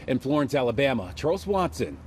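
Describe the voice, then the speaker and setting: A man's voice: mid-range voice, big pitch swings, brisk, slight background noise. A man narrating a scripted television news report as a correspondent.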